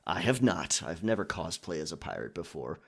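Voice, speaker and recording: A man's voice: low voice, very animated, moderate pace, creaky, fairly bright tone, slight background noise. A man hosting a casual question and answer session for his listeners in a conversational, chatty manner. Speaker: audio roleplay creator and channel host.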